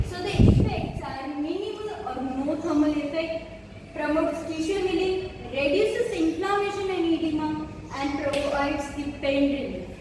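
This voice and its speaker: Echoey, high-pitched young Indian woman presenting. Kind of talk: prepared educational presentation. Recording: very echoey room, distant mic, noisy.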